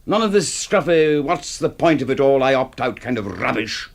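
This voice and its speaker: Pompous literary windbag, wildly swooping. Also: male voice, medium pitch, expressive, normal speed. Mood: admiring. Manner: pompous, florid, declamatory. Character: bombastic, hard-drinking middle-aged literary critic, grandiloquent and scornful. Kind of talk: radio drama acting.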